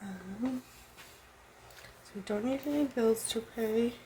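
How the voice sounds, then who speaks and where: A woman's voice: fairly high pitch, slow, rough recording. A woman with a stuffed-up nose, chatting casually and rambling with many ums and likes while she decorates her planner at home. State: stuffed up with a cold. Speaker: planner vlogger. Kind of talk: casual plan-with-me chat.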